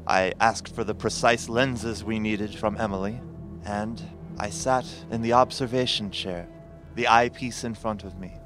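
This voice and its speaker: An actor playing a grave, formal professor who narrates a horror audio drama in a measured, literary way. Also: male voice, lowish voice, steady tone, a bit bright, soft background music. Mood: measured, foreboding.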